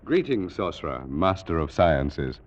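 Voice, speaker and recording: An actor playing an excitable, awed priest of ancient Egypt, theatrical and exclamatory in 1940s radio drama style. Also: male voice, extremely low pitch, takes it slowly, exaggerated voice. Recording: dull old-time radio recording with music.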